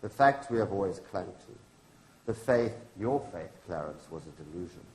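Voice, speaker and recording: In an echoey room, a man gives voice to a grieving widow in a staged political drama. The voice is low, and the delivery is solemn, rhetorical and defiant, pressing each point like an accusation. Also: expressive, moderate pace. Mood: grieving, bitter. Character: grieving, defiant widow of a hanged labor activist. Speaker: professor reading a play. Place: indoor launch event venue.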